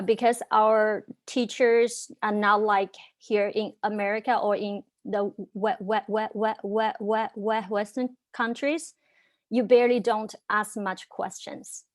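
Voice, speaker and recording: A young woman with a Chinese accent tells her own story, stuttering heavily, with long runs of repeated sounds and many fillers. Her voice sits at an ordinary middle pitch for a woman. The recording is close and dry, with almost no room sound. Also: no echo. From China. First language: Chinese dialect and Mandarin. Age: young adult. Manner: frequent fillers, earnest and candid. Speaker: panelist. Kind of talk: personal story on a video panel.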